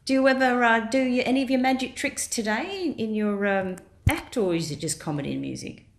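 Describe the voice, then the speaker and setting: A woman's voice: fairly high pitch, expressive, a little fast. A woman hosting a radio comedy show, chatty and giggling as she questions her guest. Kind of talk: casual radio interview.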